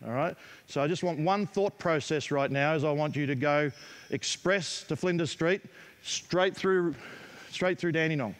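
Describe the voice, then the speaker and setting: A middle-aged man with an Australian accent, coaching players in a gym with brisk, direct instructions. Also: fairly high pitch. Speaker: basketball coach. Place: large indoor basketball gym. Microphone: headset mic.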